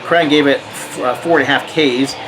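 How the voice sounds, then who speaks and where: A man's voice: medium pitch, fairly even intonation, fairly quick, low-quality recording. An American man casually showing records from his collection, rambling with lots of fillers and restarts. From United States. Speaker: metal record collector vlogger. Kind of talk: casual record show-and-tell.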